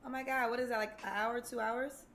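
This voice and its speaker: A woman's voice: a little high, fairly even intonation, brisk, fairly bright tone, a little hiss or hum. A woman from Connecticut hosting a dating show, interviewing a caller in a familiar way and calling her baby and honey. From Connecticut, USA.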